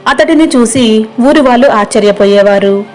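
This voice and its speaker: A woman's voice: fairly high pitch, moderate pace, darkish tone, faint music behind. A woman storyteller reading a scripted moral tale as narrator.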